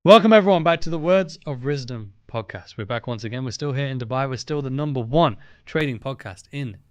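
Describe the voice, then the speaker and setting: A man's voice: medium pitch, lively intonation, a little fast, smooth, no room sound, lo-fi sound. A man hosting a podcast in a friendly, enthusiastic way, with frequent fillers. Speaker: podcast host.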